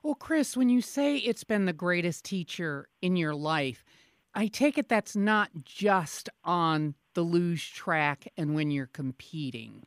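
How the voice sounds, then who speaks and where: Female public radio interviewer, unhurried and measured, smooth, resonant voice, dry studio sound. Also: lowish voice, expressive, dry recording. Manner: measured, deliberate questioning.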